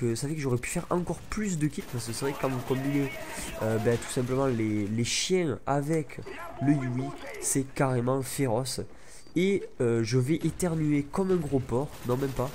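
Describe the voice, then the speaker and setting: A man's voice: mid-range voice, normal speed, darkish tone. Young guy, head cold, rambling gameplay commentary with faint noise under it. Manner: rambling, chatty, many restarts and fillers. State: stuffy head cold, sniffling. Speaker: gaming YouTuber.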